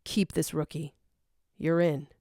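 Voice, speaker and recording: A woman's voice: deep voice, flat delivery, unhurried, warm tone, no echo, clean studio sound. Woman playing a curt, brooding male jackal leader. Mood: curt, commanding. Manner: curt, disinterested.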